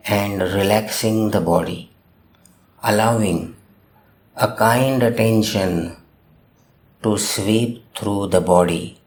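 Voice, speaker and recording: Slow, low-voiced man guiding meditation. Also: a little hiss or hum. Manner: gentle instruction in short, measured phrases with long pauses. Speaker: meditation guide. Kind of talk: guided meditation narration. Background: music.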